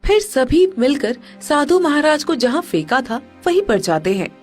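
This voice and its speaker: A woman's voice: high-pitched, very animated, normal speed, soft background music. A woman giving scripted narration for a moral story.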